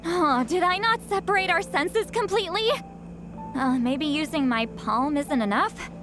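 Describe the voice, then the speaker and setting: A haughty, youthful-sounding shaman woman, high in pitch and very animated. She is defensive and easily flustered, in video game style, with music clearly behind her. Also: a little slow. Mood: flustered, musing. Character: proud, flustered two-hundred-year-old shaman, youthful-sounding woman.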